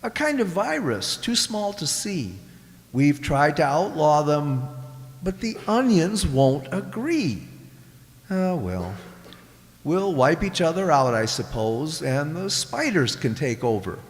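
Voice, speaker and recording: Echoey hall, male actor as gloomy carrot official, formal, slow, widely swooping pitch, radio comedy. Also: big pitch swings, heavily acted. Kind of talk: comic science fiction radio drama acting. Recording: echoey hall with long reverb.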